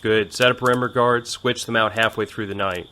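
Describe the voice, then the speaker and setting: A man plays a commanding military major in an audio drama. His low voice delivers terse, authoritative orders like a field leader, with plainly audible battle effects underneath. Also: steady tone, a little fast, bright, forceful tone, slight background noise. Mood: gruff, calm. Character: commanding male army major leading a fighter unit.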